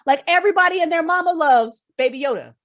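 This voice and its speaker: A woman guest, a writer, talking casually on a podcast in an animated, opinionated, sweary way. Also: very high voice, fast talker, lo-fi sound. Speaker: guest, writer and creator of an audio drama.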